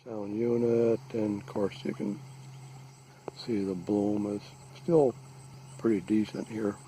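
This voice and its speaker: A man giving a halting, deliberate, matter-of-fact informal field narration outdoors, recorded on a handheld device. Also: on the low side, fairly even intonation, mellow, rough recording. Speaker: lake resident surveying an algae bloom. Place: outdoors, out on a small lake. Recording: faint outdoor background noise.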